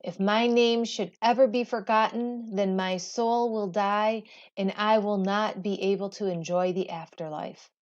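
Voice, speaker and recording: A woman's voice: mid-range voice, fairly even intonation, unhurried, dull audio, lightly acted. A woman reading a storybook aloud, voicing a proud, imperious and playfully teasing Egyptian queen with regal emphasis. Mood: commanding.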